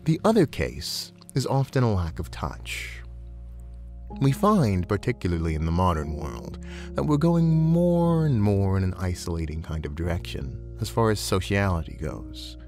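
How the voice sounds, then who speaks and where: A man voicing a genteel, soothing, playfully predatory snake-creature counselor in a measured, eloquent roleplay audio. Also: low voice, big pitch swings, darkish tone, faint music behind. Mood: soothing, thoughtful.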